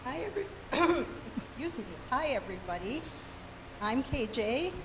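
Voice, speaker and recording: Slow-paced woman making an informal announcement. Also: high voice, very animated, fairly bright tone. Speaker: arts group member addressing livestream audience. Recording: noisy live venue audio.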